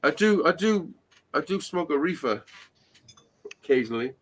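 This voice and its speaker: A man's voice: a little high, animated, normal speed. A man in his forties, swearing and teasing his co-hosts in casual banter on a live stream. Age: 40s. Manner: crude, teasing, swears freely. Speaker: panel regular.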